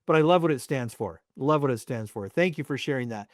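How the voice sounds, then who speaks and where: A man's voice: medium pitch, lively intonation, fairly quick, dry recording, clean studio sound. A man hosting an interview podcast, conversational and effusively appreciative as he encourages his guest and poses questions. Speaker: podcast host.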